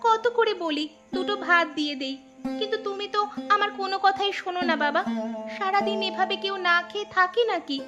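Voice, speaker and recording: A very high, girlish cartoon voice acted by a woman, playing a poor labourer's daughter. She sounds earnest, worried and easily hurt, in folk-tale dialogue with clear music behind her. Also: fairly quick. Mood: concerned, questioning. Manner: plaintive, earnest.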